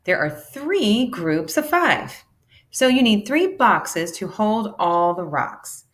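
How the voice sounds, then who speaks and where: A woman, a teacher, reading a children's book aloud in an expressive, animated way that acts out the dialogue. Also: mid-range voice, slow pace.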